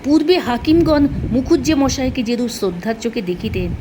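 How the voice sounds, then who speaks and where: Woman narrating a story over clear background noise. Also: fairly high pitch, lively intonation, brisk. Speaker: storyteller, reader of a short story. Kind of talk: expressive literary story narration.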